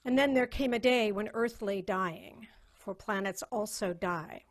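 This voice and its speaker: A woman narrates with theatrical gravity. Her pace is slow and measured and her pitch sits in the middle, in a dramatic story reading recorded on a video call.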